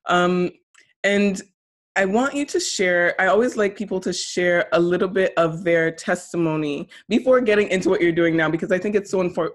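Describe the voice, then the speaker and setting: A woman hosting an interview, upbeat and friendly, often saying awesome and okay. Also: fairly low pitch. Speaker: podcast host.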